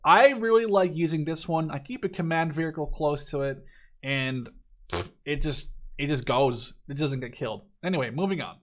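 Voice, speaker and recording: Male gaming host, twenties, from the Netherlands, slightly high-pitched voice, casual and opinionated review delivery. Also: normal speed. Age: 20s. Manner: opinionated, informal with swearing. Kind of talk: casual game review commentary.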